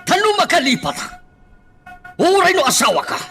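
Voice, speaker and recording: An actor playing a domineering, hot-tempered political boss in a radio drama, berating and exclaiming forcefully. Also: male voice, extremely high pitch, wildly swooping pitch, moderate pace, exaggerated voice. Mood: angry, reproachful. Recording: loud music behind the voice.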